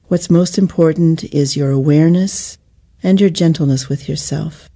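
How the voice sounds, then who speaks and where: Female meditation guide, deeply mellow and relaxed timbre, soothing and measured, leaving gentle pauses.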